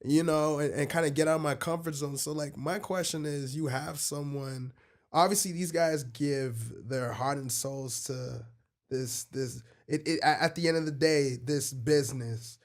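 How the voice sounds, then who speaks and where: A man chatting casually as a podcast panelist, asking questions in a halting, roundabout way. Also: a little high, steady tone. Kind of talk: casual chat.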